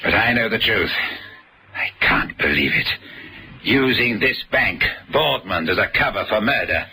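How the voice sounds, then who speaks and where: An actor playing an upright, bitter older banker, speaking formally in a vintage TV drama. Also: male voice, on the low side, expressive, a little slow, bright, forceful tone, slightly rough, full character voice. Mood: indignant. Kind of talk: 1960s British TV drama acting.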